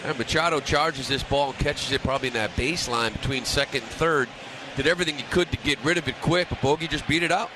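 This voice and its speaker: A man's voice: mid-range voice, fast talker. A man giving informal color commentary on a radio baseball broadcast, talking colloquially with slang and nicknames. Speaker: color analyst. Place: ballpark broadcast booth. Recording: radio broadcast with loud ballpark crowd noise.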